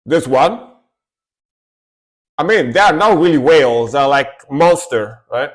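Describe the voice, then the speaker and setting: A man's voice: on the high side, animated, unhurried. Chatty man reacting to metal songs. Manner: chatty, loose, hums and mimics riffs. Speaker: music reaction streamer. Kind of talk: casual reaction commentary.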